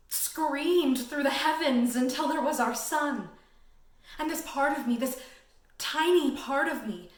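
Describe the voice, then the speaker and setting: Casting: woman playing dreamy young girl for stage monologue, high voice, full of wonder, recorded in an echoey room. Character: dreamy, awestruck young girl marvelling at science. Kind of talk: theatrical audition monologue. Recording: roomy echo, distant microphone.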